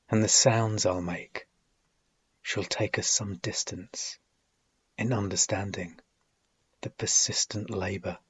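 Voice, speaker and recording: A man giving a solemn poetry reading, deliberate and measured, with dramatic phrasing, long pauses and husky, breathy phrase endings. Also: sits low, fairly bright tone, no echo, dull audio. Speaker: poet reading own work.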